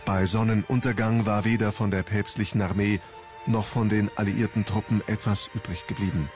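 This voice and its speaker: Male documentary narrator, lowish register, scripted storytelling delivery, music underneath. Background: background music.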